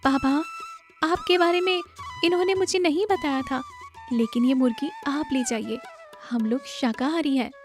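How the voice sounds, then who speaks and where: A woman's voice: high-pitched, big pitch swings, moderate pace, faint music behind, pitched above the performer's own voice. A woman voicing an eager, innocent young village girl in a cartoon story, speaking earnestly. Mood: polite, earnest.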